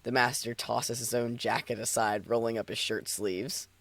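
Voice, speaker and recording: Guy streamer reading stories out loud, playful n sweary, avg pitch, really dry sound. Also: steady tone, moderate pace, gravelly, no echo. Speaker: streamer reading fan fiction aloud. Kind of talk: casual banter and narrated read-aloud.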